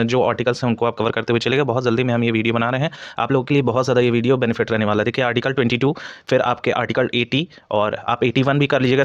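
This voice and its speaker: A man with a medium-pitched voice speaks as a coaching teacher. He explains informally to students, repeating himself and pausing to search, and mixes English terms into his talk. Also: highs cut off. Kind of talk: informal exam analysis addressed to students.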